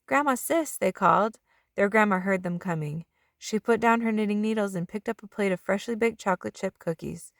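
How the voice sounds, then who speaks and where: A woman's voice: mid-range voice, a little fast, no echo, clean studio sound. A woman reading a children's storybook aloud as a narrator, drawing out the ends of some phrases. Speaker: children's book reader.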